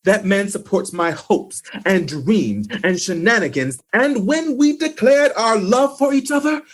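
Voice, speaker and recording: Theatrical male life coach, man acting: higher-pitched, animated, emphatic, grandiloquent, made for audio comedy. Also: unhurried. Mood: grandly proud.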